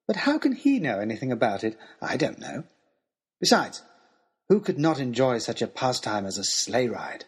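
A man playing an earnest, lovestruck gentleman adventurer who tells his journey in formal, old-fashioned, expressive storytelling. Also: mid-range voice, normal speed, husky. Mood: indignant, flustered. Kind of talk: dramatised first-person storytelling, as a letter to a lady.

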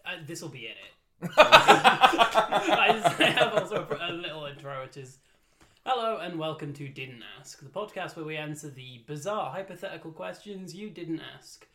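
A man's voice: a little high, animated, moderate pace, bright, forceful tone. A man hosting a casual comedy chat. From Wales. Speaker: podcast host.

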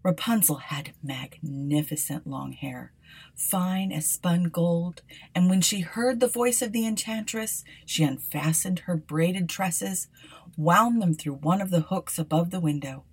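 A woman reading an old fairy tale aloud for a podcast, often dropping to a hushed whisper. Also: fairly low pitch, slightly rough. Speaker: podcast storyteller.

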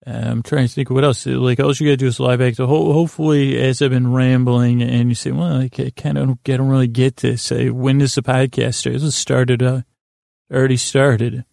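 A man with a creaky voice hosting a bedtime podcast, rambling in a meandering, halting and repetitive way to help listeners fall asleep. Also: medium pitch, flat delivery, dark tone, dry recording, clean studio sound. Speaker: podcast host.

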